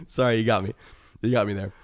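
A man's voice: fairly low pitch, dry recording. Chatty man with a cold. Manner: enthusiastic, chatty, quick to laugh. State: has a cold. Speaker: podcast co-host. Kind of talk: casual chat.

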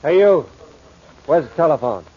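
An actor playing a calm, curt tough-guy pal in an old radio drama, terse and dismissive. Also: a little high, normal speed, slight background noise. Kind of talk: 1940s radio acting. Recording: dull old radio recording, crowd chatter behind.

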